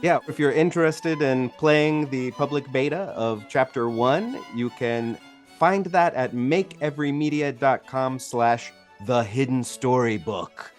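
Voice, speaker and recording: A man's voice: medium pitch, unhurried. Male podcast host, medium register, casual delivery with a light music bed.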